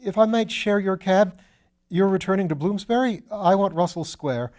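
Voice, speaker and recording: Storybook role: man as a frantic, effusive gentleman surgeon, gasping, high and loud. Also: normal speed, close to an everyday voice. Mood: frantic pleading. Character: agitated, ingratiating gentleman surgeon, breathless and pleading.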